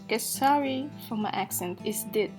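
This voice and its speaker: A woman from Africa who lives in Norway, with an African English accent tinged by Norwegian, talking slowly and hesitantly through a casual makeup tutorial with many fillers. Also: fairly high pitch, darkish tone. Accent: African English with Norwegian influence. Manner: slow, hesitant, self-deprecating, many fillers. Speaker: beauty vlogger. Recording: faint background guitar music.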